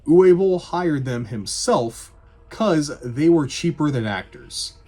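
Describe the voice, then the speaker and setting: A man with a husky voice giving casual, scripted movie review commentary in a sarcastic, irreverent, sweary manner. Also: fairly high pitch, animated, slow, a little mellow, soft background music. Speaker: video reviewer.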